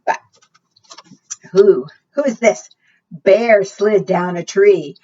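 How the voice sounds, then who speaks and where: A woman doing an expressive storytime read-aloud for preschoolers, often putting questions to her young listeners. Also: medium pitch, drawn-out words. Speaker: children's storytime librarian. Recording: distant, dull lo-fi microphone sound.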